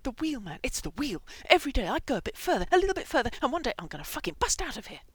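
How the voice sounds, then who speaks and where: A woman voicing a manic, itchy male hamster in an audio story, excitable and breathless in a slangy way. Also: sits low, fast, breathy edge, no echo, exaggerated voice. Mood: feverishly determined.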